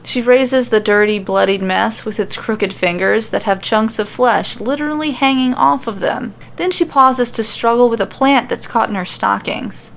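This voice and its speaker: Narrator for scripted audio fiction: woman, higher register, noticeable vocal fry, slight noise in the recording. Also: moderate pace, few pauses.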